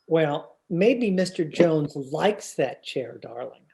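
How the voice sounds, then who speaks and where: Radio sitcom husband played by a man: mild, flustered banker, halting and slow, with lively swings. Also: fairly high pitch, vocal fry, exaggerated voice. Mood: nervous. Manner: hesitant, exasperated. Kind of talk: old-time radio comedy acting.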